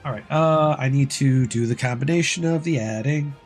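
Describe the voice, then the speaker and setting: A man chatting casually on a gameplay livestream, rambling through game steps, his voice dry and hoarse as if he is losing it. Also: mid-range voice, moderate pace, darkish tone, faint music behind. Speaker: game streamer.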